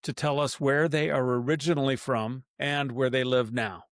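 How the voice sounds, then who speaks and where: A man hosting a podcast interview at a middle pitch. He rambles and restarts his sentences as he talks. The sound is dry and close, with no room echo. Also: steady tone, normal speed. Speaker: podcast host.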